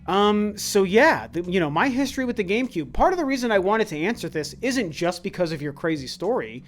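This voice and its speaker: A high-pitched man of about forty talks through viewer questions in a relaxed, earnest way. His voice sounds worn and tired, and he often restarts his sentences. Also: a little fast, a little hiss or hum. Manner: earnest, conversational, with frequent restarts. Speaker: YouTube channel host. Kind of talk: casual Q&A chat.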